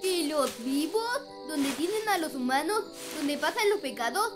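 A performer with a Latin American Spanish accent voicing an excitable, boastful young imp boy in a cartoon fan dub. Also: high-pitched, a little slow. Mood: curious, amazed. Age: child character. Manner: eager, exuberant. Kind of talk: animated fan-dub acting. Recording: music under the voice.

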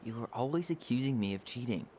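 A man's voice: mid-range voice, animated, quick pace, mellow, slight background noise, lightly acted. An actor playing a blunt, wry, guarded young man with an American accent in video game dialogue, talking casually. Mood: soft, reflective. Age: young adult.

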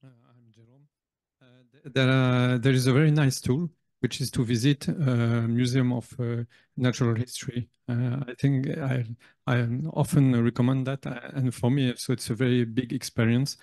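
A man commenting from the floor of a panel discussion in a conference hall, halting and full of uhs. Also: mid-range voice, level tone, darkish tone. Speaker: audience member.

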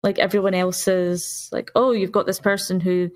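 A woman's voice: on the low side, level tone, moderate pace, darkish tone. A woman in casual, informal chat as a player on a gaming podcast, often acting out imagined quotes.

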